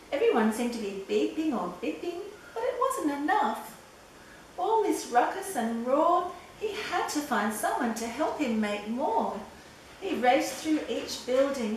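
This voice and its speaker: An older woman storyteller reading a children's story aloud expressively. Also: fairly high pitch. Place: echoey room, away from the mic. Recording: roomy echo, distant mic, faint noise.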